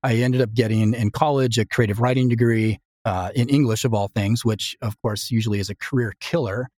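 A man in his fifties from the United States, an interview guest talking casually and reflectively, often halting and quoting himself as he tells a story. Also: mid-range voice, steady tone, a little mellow, dry recording. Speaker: interview guest, writer and audio drama creator.

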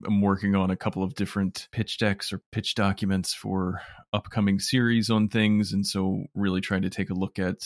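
A man's voice: low-pitched, level tone, moderate pace, few pauses, no room sound, studio quality. A man answering as an interview guest, a producer talking conversationally about his work.

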